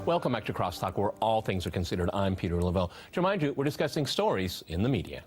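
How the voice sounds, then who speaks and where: A man's voice: lowish voice, sing-song, fast, over loud music. A man hosting and moderating a panel discussion in an emphatic, argumentative way. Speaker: talk show host and moderator.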